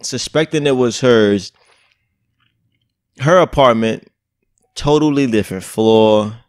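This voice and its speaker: A man's voice: medium pitch, slow pace, clear, resonant tone, dry recording, clean studio sound. A young man co-hosting a podcast in a casual, laid-back, joking chat. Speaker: podcast co-host.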